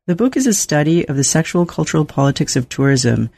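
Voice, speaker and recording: A woman hosting an interview podcast, reading a measured introduction and asking questions with some hesitation. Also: low voice, very animated, creaky, a little mellow. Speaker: podcast host.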